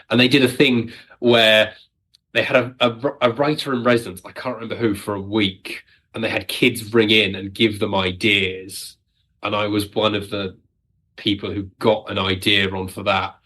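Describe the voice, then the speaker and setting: A man's voice: lowish voice, fairly bright tone, breathy edge. A British man chatting casually as an interview guest, self-deprecating and wry, talking as a writer.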